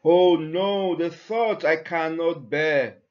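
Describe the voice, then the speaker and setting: Very slow-speaking man reciting poetry, muffled sound. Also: on the high side. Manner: measured, with long pauses between phrases. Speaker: church member reading a poem.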